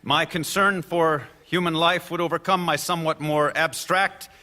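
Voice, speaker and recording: A man's voice: fairly high pitch, steady tone, moderate pace, bright, forceful tone. A man playing a confident, wry defense lawyer in a courtroom radio drama, formal and articulate. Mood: earnest, conceding.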